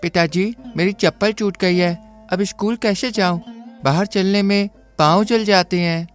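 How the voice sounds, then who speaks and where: A man's voice: high voice, fairly even intonation, a little slow, smooth, soft background music. A man doing an earnest, proud poor boy. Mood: pleading. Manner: earnest, determined.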